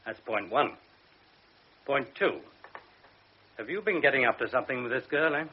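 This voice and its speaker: Brief: a barking, husky colonel, old radio-drama feel, muffled sound. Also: male voice, mid-range voice, animated, moderate pace. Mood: stern. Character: gruff blustering army colonel. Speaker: commanding officer. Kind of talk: 1960s radio drama acting. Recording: dull lo-fi radio broadcast.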